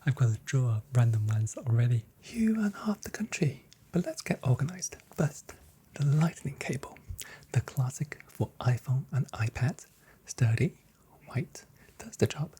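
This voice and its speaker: A soft-spoken, whispering ASMR performer playing a shop assistant with unhurried, gently playful sales patter, close to the microphone. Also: medium pitch, expressive, a little hiss or hum, poor audio.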